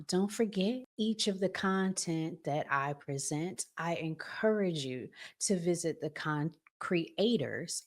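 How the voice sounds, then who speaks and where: Female American host, low register, slow delivery, conversational and emphatic reaction commentary. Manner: emphatic, conversational, addresses viewers directly. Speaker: host of a relationship reaction show. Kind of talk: casual video commentary.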